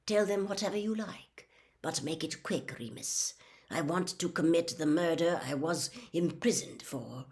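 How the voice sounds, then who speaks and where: Woman voicing a low, savage convict wizard. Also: a little slow, a little mellow. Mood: impatient menace. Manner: terse, menacing, intense. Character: savage, embittered adult male escaped convict wizard.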